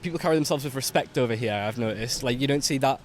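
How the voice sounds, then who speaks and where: A man's voice: mid-range voice, fast, a little hiss or hum. A young man, a volunteer teacher, talking earnestly in a street interview. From England. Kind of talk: casual street interview answer.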